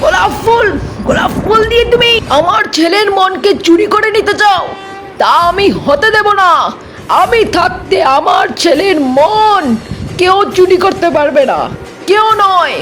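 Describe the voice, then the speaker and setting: Shrill-high, scolding mother-in-law. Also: a little slow. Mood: outraged, possessive. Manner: melodramatic, scolding. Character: jealous, domineering middle-aged mother-in-law villain. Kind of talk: amateur doll-drama acting. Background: loud music.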